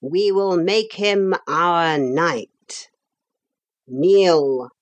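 A woman's voice: on the low side, lively intonation, very slow, full character voice. A woman voicing a solemn, formal male storybook leader with cold, pompous dignity. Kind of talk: storybook character voice.

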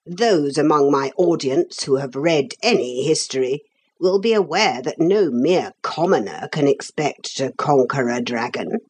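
A woman's voice: sits low, expressive, unhurried, a little mellow, breathy edge, heavily acted. A woman voicing a solemn, formal male storybook leader with cold, pompous dignity. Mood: ceremonious. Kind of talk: storybook character voice.

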